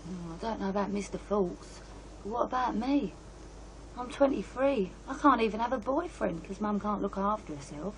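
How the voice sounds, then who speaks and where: A woman's voice: medium pitch, lively intonation, normal speed, lightly acted. An actress playing an aggrieved British woman in her twenties, confiding and colloquial, in a radio drama. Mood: bitter, resentful. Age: 20s. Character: bitter, trapped young British woman of twenty-three. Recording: noisy radio play scene with ambience.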